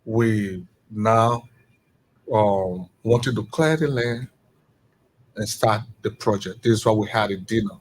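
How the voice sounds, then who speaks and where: A man's voice: on the low side, slow pace, low-quality recording. A middle-aged Liberian man with a Liberian accent, speaking as a guest on a radio interview and pressing his case with emphatic rhetorical questions. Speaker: executive director of a returnee network.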